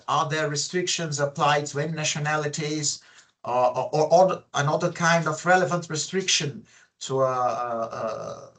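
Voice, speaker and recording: Brazilian-accented man moderating, slightly high voice. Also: fairly bright tone. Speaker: discussion moderator. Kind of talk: moderating an online professional panel discussion. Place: online video call.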